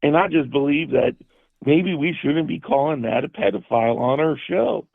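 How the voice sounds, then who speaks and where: Male call-in antagonist: soft but gravelly, sarcastic, argumentative, close and dry through narrow call audio. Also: a little high, animated, normal speed, no echo. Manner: combative, sarcastic, talks over others. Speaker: live show caller. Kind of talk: heated argument on a call-in show. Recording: thin, band-limited call audio.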